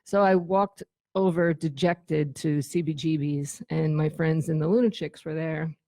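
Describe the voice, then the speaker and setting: Gravelly middle-aged woman telling stories. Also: sits low, fairly even intonation, unhurried, dark tone, dry recording, studio quality. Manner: informal, sweary, acts out dialogue. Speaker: guest, former band tour manager. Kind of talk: casual chat, telling anecdotes.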